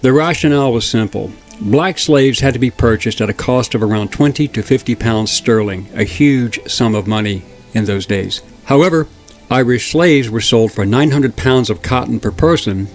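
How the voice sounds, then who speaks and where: A man narrating a historical documentary in a measured, steady reading. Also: mid-range voice. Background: faint background music.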